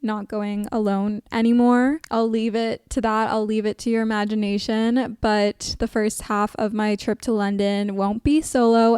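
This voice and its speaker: A woman in her twenties hosting an advice podcast, chatting casually with a level, monotone delivery and lots of "like" fillers. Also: a little high, smooth, no room sound, studio quality. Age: 20s. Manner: chatty, says she sounds monotone, frequent "like" fillers. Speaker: podcast host.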